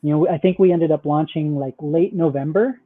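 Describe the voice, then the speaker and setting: A man's voice: fairly high pitch, moderate pace, phone-quality sound. A man giving casual interview answers as a guest, explaining loosely in conversational filler-laden phrases over thin call audio. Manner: loose, explanatory, peppered with "like" and "you know". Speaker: startup founder, interview guest.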